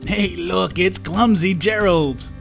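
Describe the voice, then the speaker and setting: A woman voicing brash, excitable jungle animals exclaiming in a children's storybook. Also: fairly low pitch, slow, creaky, soft background music. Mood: mocking.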